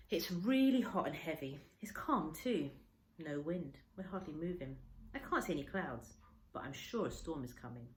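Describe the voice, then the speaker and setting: A woman reading a children's story aloud like a teacher, with expressive, varied emphasis. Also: sits low. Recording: roomy, echoey indoor sound.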